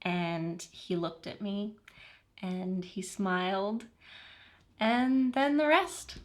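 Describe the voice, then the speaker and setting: A woman's voice: mid-range voice, extremely slow. A woman playing a chatty newlywed who confides a romantic tale in a conversational comedy monologue. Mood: dreamy, confiding.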